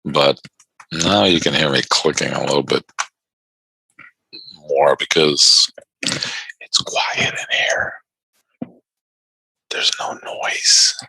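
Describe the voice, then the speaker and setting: A man from Chicago hosting a trading live stream, chatting casually with viewers and laughing often in a quiet room. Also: extremely low pitch, slow, creaky, pauses often, slightly rough, no echo, poor audio. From Chicago North Side. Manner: laid-back, laughs often, reads and answers chat.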